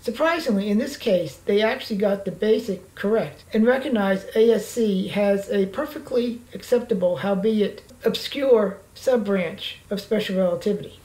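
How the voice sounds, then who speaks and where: A woman narrating a scripted slide lecture in a formal, measured reading manner. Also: mid-range voice, dark tone, poor audio.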